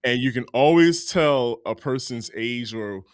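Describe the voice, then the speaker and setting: A man's voice: medium pitch, measured pace, clean tone, no room sound, clean studio sound. A man co-hosting a podcast in casual talk, emphatic and repeating words for effect. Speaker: podcast co-host.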